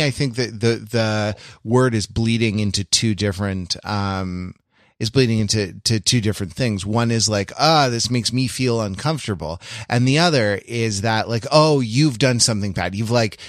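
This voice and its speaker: A man's voice: lowish voice, fairly bright tone, no echo. Man thinking aloud, dry close sound. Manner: halting, thinking aloud, trailing qualifications. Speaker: podcast panelist. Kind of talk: casual analytical podcast discussion.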